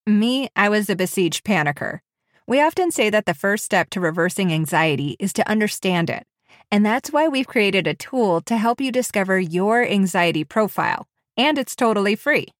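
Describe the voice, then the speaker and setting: A woman's voice: mid-range voice, lively intonation, normal speed, no echo, clean studio sound. Chatty woman, nasal from a cold. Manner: chatty, giggly, self-deprecating. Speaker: podcast co-host. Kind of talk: casual podcast chat.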